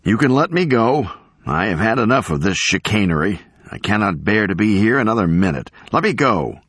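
An indignant old professor, acted out by a male narrator, declaims with pompous emphasis. His pitch leaps up and down as he rants like a storybook crank. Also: sing-song, normal speed, a bit bright, breathy edge, dry recording, studio quality, heavily acted. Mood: weary, peevish. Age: older. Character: ranting, unkempt, indignant elderly male professor.

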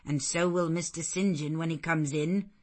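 Woman reading as a gruff old servant. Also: sits low, fairly even intonation, normal speed. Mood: brisk. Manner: gruff and plain-spoken. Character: blunt suspicious elderly woman servant.

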